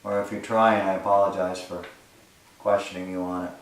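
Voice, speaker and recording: A low-voiced man talks to the unseen in a coaxing, easygoing way, his questions bouncing around an echoey room. He sits back from the mic, and a faint hiss runs underneath. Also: little pitch movement, fairly quick, far from the mic. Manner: coaxing, speaks to spirits directly. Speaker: paranormal investigator. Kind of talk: casual ghost-hunting talk. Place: inside a house.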